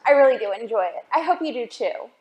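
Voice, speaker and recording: A woman reading a picture book aloud for a children's storytime, expressive and often asking the listeners questions. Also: fairly high pitch, quick pace, echoey room, dull audio. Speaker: library storytime reader.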